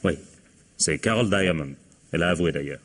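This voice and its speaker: An actor playing a sardonic, domineering police inspector in a vintage radio mystery, interrogating with irony and cutting others short. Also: male voice, sits low, fairly quick, fairly bright tone. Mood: accusing.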